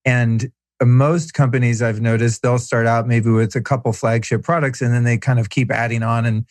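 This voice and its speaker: A man's voice: mid-range voice, flat delivery, normal speed, no room sound. A man hosting a podcast, asking curious, chatty questions in casual conversation. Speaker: podcast host.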